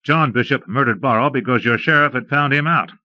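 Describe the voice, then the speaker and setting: A man's voice: mid-range voice, brisk, no echo, voice pushed up, lightly acted. Terse, commanding Western Ranger played by a male narrator, voice lifted above narration, muffled lo-fi recording. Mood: firm warning. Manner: commanding, clipped statements. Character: stern, terse Texas Ranger lawman in a Western. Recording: dull lo-fi cassette transfer.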